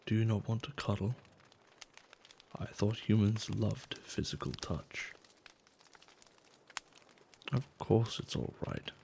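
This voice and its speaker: A man acting a gentle, caring giant in a soft-spoken, soothing and affectionate ASMR roleplay, with slightly formal phrasing. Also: takes it slowly, long pauses, slight background noise. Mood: tender, coaxing. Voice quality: low, soft, gravelly. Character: gentle male giant, tender, curious and caring toward humans.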